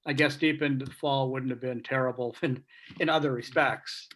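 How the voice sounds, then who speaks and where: A man's voice: mid-range voice, fairly even intonation, normal speed, a bit bright. Reflective man in casual talk. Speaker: sports writer on a panel. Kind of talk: casual video-meeting talk. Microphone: video call.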